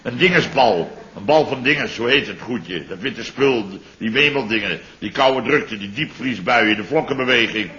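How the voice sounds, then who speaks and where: A man's voice: medium pitch, lively intonation, normal speed, sharp tone. An actor playing a rambling, muddled middle-aged businessman in a 1960s radio play, groping for words and exaggerating comically. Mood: blustering. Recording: dull old radio recording.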